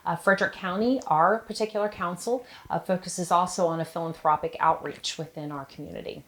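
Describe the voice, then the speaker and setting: A woman who heads a trade association, speaking to camera in a measured, purposeful way. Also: lowish voice, a bit bright. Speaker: association executive officer. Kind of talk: interview to camera.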